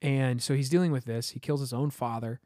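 Male podcast co-host, rambling and word-searching, mid pitch, dry close mic. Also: steady tone, a little fast, mellow, no room sound. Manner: rambling, searching for words, with "you know" and "I mean". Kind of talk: casual chat.

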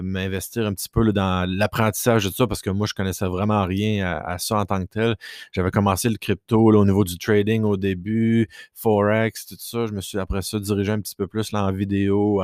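A man's voice: lowish voice, darkish tone, clean tone, dry recording, clean studio sound. A young man from Quebec with a Québécois accent, hosting a solo podcast from his basement, rambling with many fillers and restarts. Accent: Québécois French. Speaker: podcast host. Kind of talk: casual solo podcast talk. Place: home basement.

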